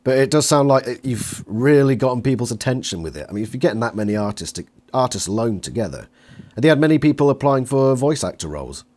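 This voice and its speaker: A man's voice: medium pitch, animated, fast talker, darkish tone. A man asking questions as an interviewer in a casual conversation.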